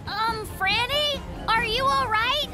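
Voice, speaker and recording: A woman's voice: very high voice, slow. A woman voicing an anxious but proud little male polar bear cub in a children's cartoon, hesitant and defensive. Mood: anxious resolve. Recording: loud music behind the voice.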